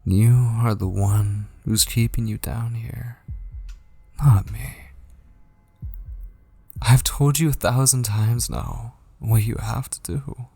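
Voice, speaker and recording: A man playing a possessive, obsessed kidnapper in an intimate ASMR roleplay, coaxing with menacing tenderness in a breathy voice that drifts toward whispers. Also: medium pitch, dark tone, no echo, full character voice. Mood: obsessive, pressing. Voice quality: breathy, husky. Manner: slow, coaxing, menacingly tender, lingering on phrases. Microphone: close studio microphone.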